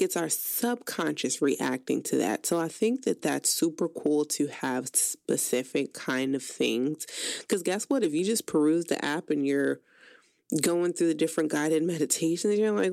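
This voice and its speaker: A woman's voice: low voice, creaky, a little mellow, no room sound, studio quality. A woman hosting an internet radio show, reading reviews from notes and adding chatty asides with fillers. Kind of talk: casual radio talk, reading app reviews. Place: radio studio.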